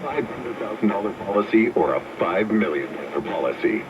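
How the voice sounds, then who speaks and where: A man's voice: on the low side, expressive, brisk. A man announcer reading a scripted advertisement, played back from a radio. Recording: noisy distant muffled radio speaker.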